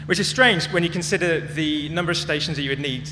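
A man's voice: on the high side, fast. A man speaking as a conference presenter, explaining things in a prepared public talk. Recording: echoey hall with clear hum and noise.

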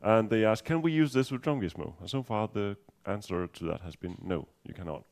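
A deep-voiced Danish man presents at a software conference in accented English. His tone is dark and relaxed as he works through technical points. He pauses often and restarts sentences, with a dry, self-mocking humor. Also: vocal fry. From Denmark. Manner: hesitant, many ums and restarts, dry humor. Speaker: conference speaker.

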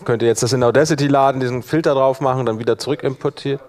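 A man speaking colloquial speech, giving an informal live software demonstration and thinking aloud as he works. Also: medium pitch, steady tone, rapid-fire. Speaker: workshop presenter.

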